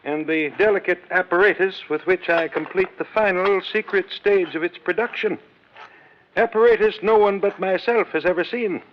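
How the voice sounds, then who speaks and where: An actor playing a wiry old scientist in a radio drama, fussy, secretive and defensive, speaking in a precise, protesting way. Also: male voice, high voice, normal speed, close to an everyday voice. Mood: proud, secretive. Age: older. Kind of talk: 1950s radio drama acting. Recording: dull, noisy old radio recording.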